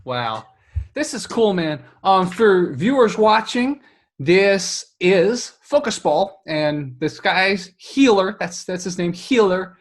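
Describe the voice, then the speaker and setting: High husky-voiced male interview host. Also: very animated, takes it slowly. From Southwest China. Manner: chatty, laughs easily, many fillers and restarts. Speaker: podcast host. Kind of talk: casual interview chat.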